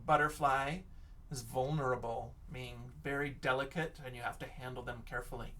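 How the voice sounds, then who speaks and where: A man teacher reading a picture book aloud and chatting to children, expressive, often dropping into hushed whispers. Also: medium pitch, fairly quick, far from the mic.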